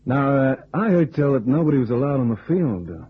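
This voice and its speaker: An actor playing a wisecracking, cool American test pilot with a laconic manner in a radio detective drama. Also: male voice, medium pitch, big pitch swings, brisk, slightly rough. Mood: teasing, flirtatious. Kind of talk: old-time radio detective drama acting. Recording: muffled, narrow old radio recording.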